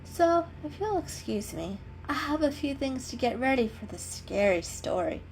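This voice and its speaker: A woman's voice: sits high, very animated, moderate pace, vocal fry, darkish tone, faint music behind, pitched above the performer's own voice, full character voice, low-quality recording. A woman voicing a bookish female cat in a storybook, polite, proud and a little bashful. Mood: politely proud.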